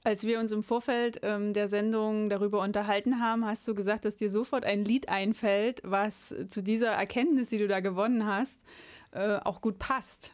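A woman's voice: mid-range voice, steady tone, moderate pace. A woman hosting a radio programme, introducing segments and putting questions to her guest. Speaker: radio show host. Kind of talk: radio moderation and interview.

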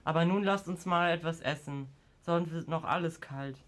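A man's voice: on the high side, moderate pace, fairly bright tone, natural voice. A young male amateur playing a practical, easygoing father in an audio drama. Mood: agreeable.

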